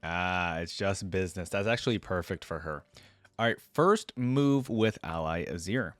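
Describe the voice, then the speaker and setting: Casual man, slightly low, dry close recording. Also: moderate pace, no echo, soft background music. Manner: informal, conversational. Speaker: reaction video host. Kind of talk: casual commentary reacting to game voice lines.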